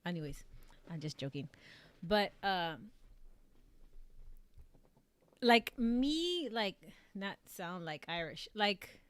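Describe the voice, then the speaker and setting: Rambling Texan woman with smooth voice. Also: medium pitch, very animated, slow pace, no room sound. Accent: Mexican-American Texan. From South Texas, US. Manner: rambling, leans heavily on "like" and "um". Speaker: streamer. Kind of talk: casual chat reading viewer comments.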